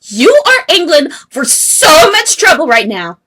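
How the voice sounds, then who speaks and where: A woman voicing an earnest, bubbly young female troll heiress from the sea, with expressive scolding, in an amateur audition style. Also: high voice, unhurried, exaggerated voice. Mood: indignant. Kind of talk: amateur animated-webcomic voice audition acting.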